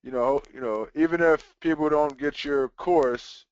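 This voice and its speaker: A man's voice: on the high side, a little slow, clear, resonant tone, no room sound. A man hosting a live webinar, chatting casually with fillers like "you know". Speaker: webinar host. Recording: dull lo-fi webinar audio.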